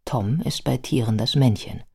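A man narrating a scripted biographical radio play in a measured, factual manner. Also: mid-range voice, vocal fry, mellow.

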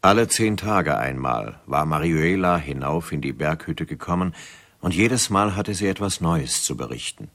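Extremely deep-voiced male narrator. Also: lively intonation, normal speed, a bit bright. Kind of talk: scripted radio drama narration.

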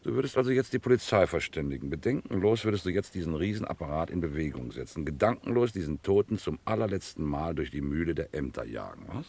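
Pompous, bossy amateur philosopher, extremely low husky voice, declamatory vintage radio drama. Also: male voice, big pitch swings, fast talker, hardly any pauses, darkish tone, full character voice. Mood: lofty, indignant. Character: pompous philosophizing man named Henry, lofty and bossy. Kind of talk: 1960s German radio crime play acting.

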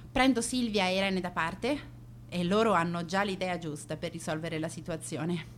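A woman's voice: mid-range voice, a bit bright, slight background noise, natural voice. A young woman acting a vain, scornful teenage schoolgirl who narrates her days in a theatrical, confiding radio monologue. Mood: irritated. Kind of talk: dramatic radio monologue in present tense.

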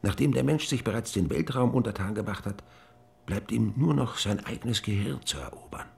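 An actor playing a composed, visionary brain doctor, speaking in a measured, solemn, persuasive way in a radio drama. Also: male voice, lowish voice, vocal fry, darkish tone. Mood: solemn persuasion. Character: composed, visionary male brain doctor coaxing his patient. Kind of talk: German radio drama acting.

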